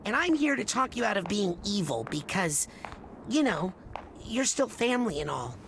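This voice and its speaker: A woman voicing an earnest, awkwardly brave young boy hero in an animated game, rambling and self-deprecating. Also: mid-range voice, moderate pace, a little hiss or hum. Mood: awkward, nervous. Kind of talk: animated game cutscene acting.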